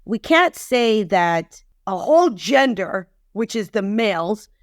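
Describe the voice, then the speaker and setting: Woman, fifties, podcast guest, medium pitch, emphatic, opinionated, casual, repetitive for stress, dry close sound. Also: sing-song, slow pace, no echo. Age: 50s. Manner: emphatic, repeats phrases for stress. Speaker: podcast guest, relationship expert.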